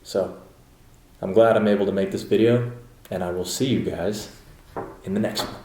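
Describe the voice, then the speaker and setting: A man's voice: on the low side, fairly even intonation, normal speed. A man in his twenties chatting casually in a rambling, loose vlog style, full of fillers and restarts. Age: 20s. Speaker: vlogger training for a triathlon. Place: in a car while driving home, later at home. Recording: car and road noise behind the voice.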